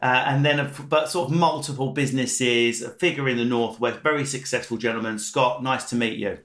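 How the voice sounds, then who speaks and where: A man hosting a chatty podcast, excitable and jokey, often stumbling and restarting mid-sentence. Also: mid-range voice, a little fast, roomy sound. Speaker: podcast host. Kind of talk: casual chat.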